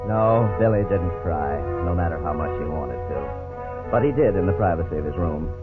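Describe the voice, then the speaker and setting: A man narrating a 1950s radio drama in a measured, grave manner. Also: low-pitched, expressive, loud music behind the voice. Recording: tinny old radio broadcast, faint hiss.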